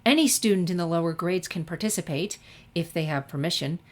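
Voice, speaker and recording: A woman's voice: fairly low pitch, fairly quick, close to an everyday voice. A woman voicing an eager, pushy female unicorn drama professor in a story reading, coaxing and insistent.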